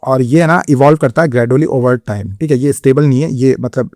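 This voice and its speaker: A man with an Indian accent lectures like a coaching-class teacher, explaining step by step and pausing to check understanding. His voice is dark and mellow, recorded close and dry with no room sound. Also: medium pitch, dry recording. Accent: Indian English. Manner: explanatory, repeats "theek hai" to check understanding. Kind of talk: exam-prep lecture.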